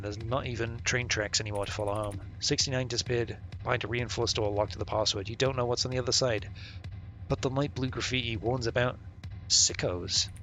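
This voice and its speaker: A man's voice: mid-range voice, a bit bright. A man live-streaming a game, reading its text aloud and commenting in a casual, slangy, sweary way.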